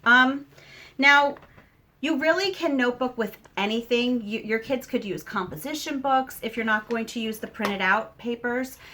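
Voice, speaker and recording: Bright-voiced woman explaining things casually. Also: on the high side, normal speed, slight background noise. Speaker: homeschooling mother, video host. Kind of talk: casual explanatory talk to viewers.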